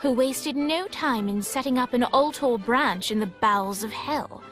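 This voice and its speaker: A woman's voice: a little high, a little slow, a bit bright. A woman playing a composed, wry storybook narrator, reading scripted narration for a video game. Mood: wry, measured. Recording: music behind the voice.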